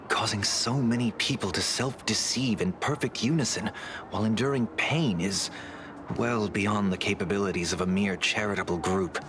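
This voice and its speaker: A man as a low, solemn strategist. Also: noisy background, lightly acted. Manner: measured, solemn, articulate. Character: composed, grave male strategist in space fantasy. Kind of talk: video game voice acting.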